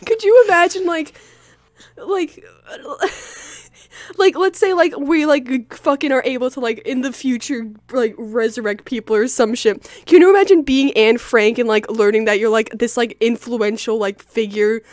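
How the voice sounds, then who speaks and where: A woman's voice: fairly high pitch, a little slow, dry recording, studio quality. A young adult woman chatting casually as a comedy co-host, swearing freely and amused.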